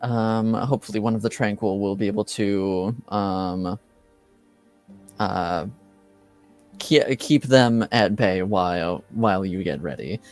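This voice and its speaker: A man's voice: lowish voice, takes it slowly, soft background music. A man playing a polite, flustered senior enchanter in a tabletop roleplay, speaking formally and hesitantly.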